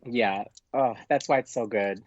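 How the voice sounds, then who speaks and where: A dull, slightly muffled and dry recording of a man as a podcast guest. He talks casually in an effusive, gushing way with plenty of filler words, at a middling pitch. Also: measured pace, dry recording. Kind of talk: casual pop culture chat.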